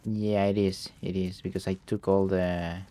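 A young man who is sick, his voice deeper than usual, commentating a game and reading notes aloud haltingly, with English not his first language. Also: little pitch movement, dark tone. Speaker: gameplay streamer. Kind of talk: let's play commentary.

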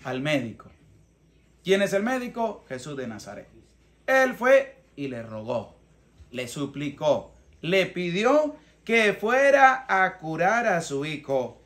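High-voiced Venezuelan priest preaching. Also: male voice, big pitch swings, takes it slowly, fairly bright tone. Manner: emphatic, didactic, asks questions and answers them himself. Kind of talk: homily, plain colloquial preaching. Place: small rustic wooden room or shelter. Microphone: clip-on lapel mic.

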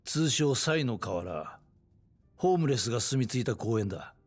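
An actor playing a gruff, world-weary middle-aged detective in a video game cutscene, matter-of-fact in delivery. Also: male voice, mid-range voice, lively intonation, normal speed, soft background music, close to an everyday voice. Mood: serious, cautioning. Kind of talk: Japanese game cutscene acting.

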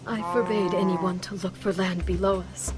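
A woman's voice: on the low side, steady tone, moderate pace, close to an everyday voice, low-quality recording. A woman voicing a refined, anxious female ruler in a video game, with formal, proper and hesitant speech. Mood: stunned. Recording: music under the dialogue.

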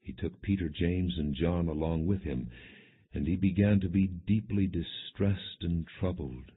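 A man reading scripture as an audiobook narrator, in a solemn, measured, expressive way. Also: low voice, slightly rough. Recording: muffled, narrow phone-like sound.

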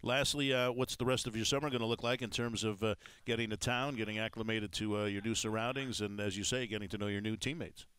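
Middle-aged male sports host, resonant and lowish, dry close studio sound, measured with filler pauses. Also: fairly even intonation, a bit bright, clear, resonant tone, no room sound. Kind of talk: studio interview. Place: TV studio set. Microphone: headset mic.

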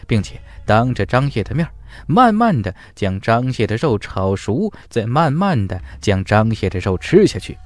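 A man's voice: on the low side, big pitch swings, moderate pace, dry recording. A man narrating a fantasy audiobook from a script in a dramatic, emphatic storytelling style.

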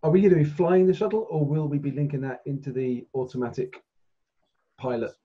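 A man's voice: fairly high pitch, steady tone, a little fast, husky. A man playing a tabletop game over a call, talking casually in character and out. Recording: dull, muffled call audio.

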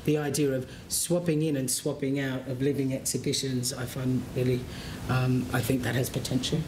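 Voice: little pitch movement, normal speed. A panelist speaking earnestly in a panel discussion, sometimes searching for words. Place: large conference room. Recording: echoey room, faint rumble.

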